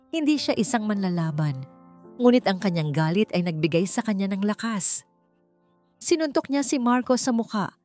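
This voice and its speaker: A woman's voice: medium pitch, darkish tone. A woman narrating a dramatic audiobook story in a scripted storytelling style. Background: background music.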